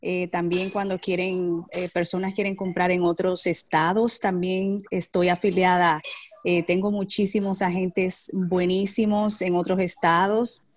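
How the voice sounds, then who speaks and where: Woman real estate agent, lower voice, halting, filler-heavy self-introduction. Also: little pitch movement, a little hiss or hum. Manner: many hesitation fillers. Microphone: video call.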